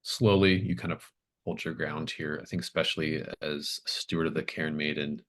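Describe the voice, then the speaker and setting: A game master with an extremely low voice narrates a tabletop roleplaying scene. The descriptions are improvised and thoughtful, arriving in halting phrases as each image is put together. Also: fairly even intonation.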